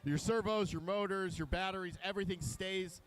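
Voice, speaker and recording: A man giving live color commentary at a robotics competition, explaining the rules and technical details with frequent filler pauses. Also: high voice, a little hiss or hum, poor audio. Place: competition venue.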